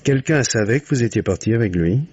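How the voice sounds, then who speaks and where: A man's voice: medium pitch, animated, fast, darkish tone, husky. A man playing a shrewd, patient police commissioner in a French radio mystery, questioning in a probing, paternal, conversational way with muttered prompts. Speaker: actor in a radio mystery play. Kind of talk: 1950s French radio drama acting. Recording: muffled old radio recording.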